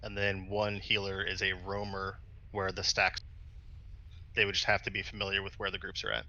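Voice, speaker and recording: Guy on game chat, low voice, super sharp tone, matter of fact, muffled audio. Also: completely flat delivery, normal speed. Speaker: raid member offering suggestions. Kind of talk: casual gaming chat. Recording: dull lo-fi gaming voice chat.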